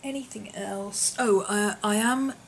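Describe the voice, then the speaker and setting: A woman, her voice hoarse and husky from an infection, chatting casually in a vlog with lots of ums and small laughs. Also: mid-range voice, measured pace, a little hiss or hum. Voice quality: husky, often whispery. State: hoarse, voice partly lost from infection. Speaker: vlogger.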